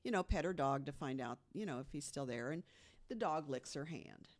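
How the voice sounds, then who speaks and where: Woman, podcast co-host, casual storytelling, hushed for scary parts, chatty fillers. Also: deep voice, expressive, fairly quick. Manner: chatty storyteller, fills with "you know", drops to hushed tones for the scary parts.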